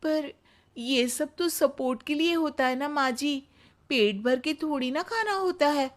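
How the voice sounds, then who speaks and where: A woman playing a dutiful young daughter-in-law who politely protests in a comic animated Hindi story. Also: high-pitched, a little slow. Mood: polite, hesitant.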